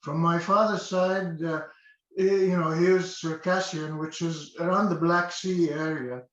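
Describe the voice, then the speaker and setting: An older man from Egypt talks in a slightly higher voice, hesitating with many fillers and restarts. The recording sounds roomy and a little dull. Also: fairly even intonation, measured pace, a bit bright. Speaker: interview guest. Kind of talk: casual interview. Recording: echoey dull-sounding room.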